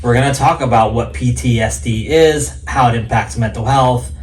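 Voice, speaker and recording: A man's voice: medium pitch, moderate pace, darkish tone. A man co-hosting a casual podcast, easygoing and teasing, with many fillers. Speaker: podcast co-host, counselor. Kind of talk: casual chat. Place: studio office. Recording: echoey room, mic at a distance, faint noise.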